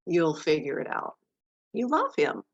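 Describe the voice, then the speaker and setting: A woman's voice: lowish voice, huge pitch swings, a little slow, full character voice. A woman playing a plainspoken, tender-hearted aunt in mourning, folksy and motherly, in a dramatic script read with slightly muffled sound. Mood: advising.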